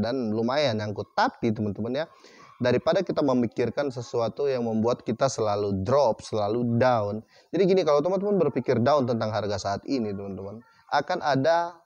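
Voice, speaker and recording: A man giving casual commentary as a crypto channel host, reading text aloud haltingly and tagging "teman-teman" onto most phrases. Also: medium pitch, darkish tone, no room sound, muffled recording. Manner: chatty, repeats "teman-teman" after most phrases. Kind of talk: casual screen-share commentary.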